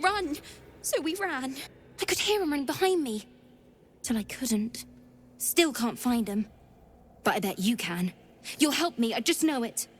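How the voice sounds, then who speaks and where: High-pitched, scrappy young girl. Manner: informal, childlike, halting speech. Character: plucky young orphaned tiefling girl, scrappy and earnest. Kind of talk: video game character dialogue.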